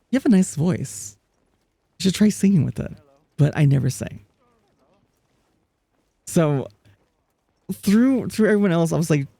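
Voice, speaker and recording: Live streamer, casual chat, gravelly edge, dry close sound. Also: mid-range voice, mellow, no echo. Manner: chatty, laughs often, reads chat aloud; frequent restarts and fillers.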